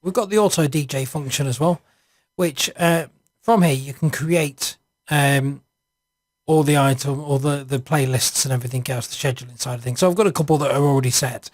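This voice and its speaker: A man narrating an informal screencast software walkthrough, hesitant and improvised as he thinks aloud. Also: mid-range voice, a little fast, dry recording, studio quality. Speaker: software reviewer.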